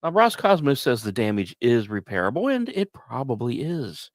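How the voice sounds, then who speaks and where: A man's voice: medium pitch, big pitch swings, normal speed, dry recording, studio quality. A man hosting a podcast, reading scripted space news like a dramatic story with conversational asides. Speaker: podcast host.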